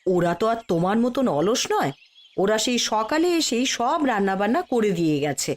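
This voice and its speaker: A woman's voice: medium pitch, animated, moderate pace, lightly acted. A woman playing a scolding, principled wife in a moral-tale cartoon, with a firm, admonishing manner.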